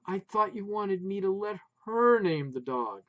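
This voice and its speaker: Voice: medium pitch, expressive, measured pace, voice pushed up. A reader voicing a harried husband straining to be heard over a noisy phone call. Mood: weary. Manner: raised, strained yelling.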